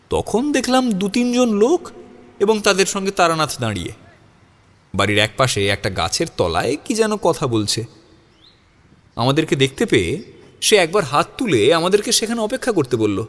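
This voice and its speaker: A man's voice: a little high, big pitch swings, normal speed, a bit bright, in a large hall. A man narrating a scripted audio story in the first person, dramatising it as he goes.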